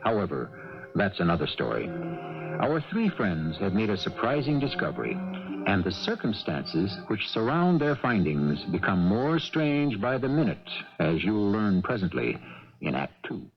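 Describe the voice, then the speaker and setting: A man hosting a 1980s radio mystery drama with measured, theatrical, rhetorical scripted narration. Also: on the low side, sing-song. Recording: dull old radio broadcast, music behind.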